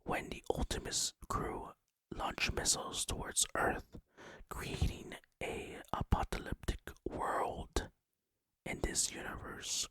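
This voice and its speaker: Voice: a bit bright, no room sound. A gaming video host narrating in ASMR style, whispering throughout. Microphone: close, dry microphone.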